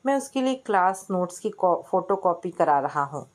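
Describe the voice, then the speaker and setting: A woman's voice: fairly low pitch, big pitch swings, moderate pace, a little mellow. Young male student, read by a woman: blunt, firm, loyal friend, matter-of-fact delivery in a family story. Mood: earnest.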